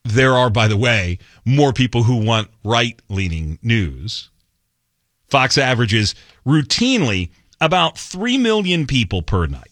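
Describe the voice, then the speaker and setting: A man's voice: medium pitch, very animated, measured pace, a bit bright, no echo. A man hosting a conservative talk radio show, delivering a sarcastic monologue and mocking other outlets in put-on quotes, recorded on a studio mic.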